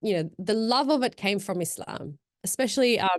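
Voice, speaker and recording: A woman's voice: medium pitch, animated, fairly quick, no echo. Reflective young woman, dry close sound. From Afghan, born in Pakistan, raised in Australia. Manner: thoughtful, rambling, many fillers and restarts. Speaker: podcast guest. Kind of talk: casual reflective chat.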